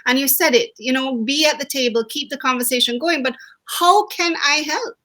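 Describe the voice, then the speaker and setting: Woman hosting a chat, really high bright voice, eager, keeps asking questions. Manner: eager, questioning, quick responses. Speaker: host. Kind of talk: panel conversation.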